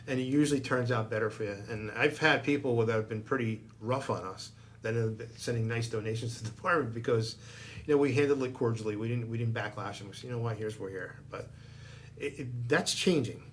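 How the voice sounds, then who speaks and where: A man's voice: medium pitch, brisk, slight background noise. A man speaking as a guest fire chief in a casual discussion, plain-spoken and emphatic, repeating phrases to drive points home.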